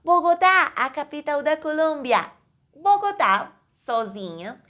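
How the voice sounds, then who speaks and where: A woman from Colombia, teaching an online language lesson slowly, with clear, careful enunciation. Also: high-pitched, animated, sharp tone. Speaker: Portuguese teacher.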